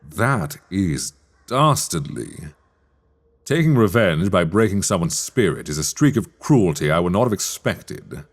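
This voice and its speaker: A man acting a sly, teasing master of a magic academy in a dark fantasy ASMR roleplay, speaking in measured, wry, formal phrases. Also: low voice, very animated, exaggerated voice. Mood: puzzled, amused.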